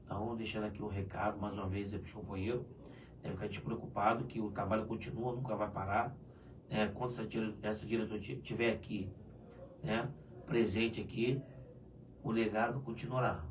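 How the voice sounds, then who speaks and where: A hoarse man chatting loosely on a live union show, tagging his sentences with "né?". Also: fairly low pitch, fairly even intonation, fairly quick. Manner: loose and chatty, many "né?" tags. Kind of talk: casual live chat answering viewers. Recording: distant mic with faint room noise.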